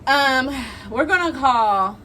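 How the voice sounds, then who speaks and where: A young American woman hosting a casual storytime vlog, talking in an animated, slangy, giggly way. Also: high voice, slow, slight background noise. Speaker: vlog host.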